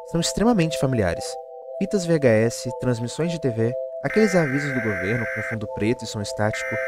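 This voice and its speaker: A man with a Brazilian accent delivering scripted explainer narration in the manner of a video essay. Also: mid-range voice. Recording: music bed under the voice. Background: background music.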